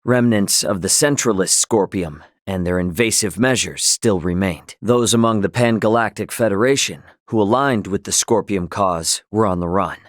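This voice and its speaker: An actor playing a brash, easygoing young male starship captain in a video game, with a cocky, informal and expressive delivery. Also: lowish voice, normal speed, hardly any pauses, dry recording, studio quality, close to an everyday voice. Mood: sober, reflective. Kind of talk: video game character dialogue.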